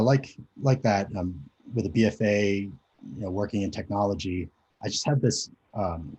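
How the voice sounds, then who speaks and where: A man's voice: low-pitched, mellow, slightly rough, poor audio. A man in his forties, raised in Japan, giving a hesitant, conversational presentation over a video call with many fillers. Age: 40s. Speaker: conference speaker. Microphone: video call mic.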